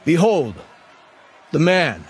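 A man's voice: fairly high pitch, very animated, takes it slowly, faint music behind. A man voicing an exasperated, authoritative Roman governor in an emphatic, declamatory way for a scripture reading. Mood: insistent, declaring.

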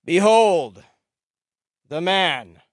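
A man playing a commanding, troubled Roman governor in a dramatic scripture reading, loud, emphatic and declamatory. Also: high-pitched, lively intonation, unhurried, no room sound, clean studio sound, voice pushed up, heavily acted. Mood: exasperated.

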